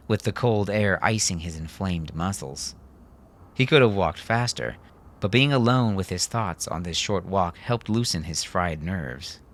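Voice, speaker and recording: Male audiobook narrator, very low register, noisy recording. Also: fairly bright tone. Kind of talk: scripted story narration.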